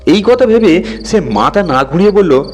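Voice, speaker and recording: A man's voice: sits high, lively intonation, moderate pace. A man reads a scripted horror story as a dramatic narrator. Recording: music bed behind the voice. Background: music.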